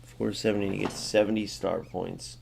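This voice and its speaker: A man with a somewhat low voice hosts a gaming channel in a loose, chatty way. He talks straight to his viewers as guys and thinks aloud, working through numbers under his breath. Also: level tone, normal speed, gravelly, a little mellow, a little hiss or hum. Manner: thinks aloud, muttering sums to himself. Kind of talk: casual game commentary.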